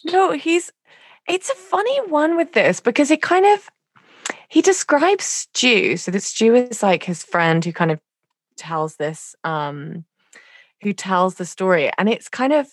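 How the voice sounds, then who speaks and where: A woman's voice: medium pitch, sing-song, measured pace, no echo, clean studio sound. A woman co-hosting a book podcast, talking in a casual, hesitant way with many fillers and restarts. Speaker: podcast co-host. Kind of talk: casual literary discussion.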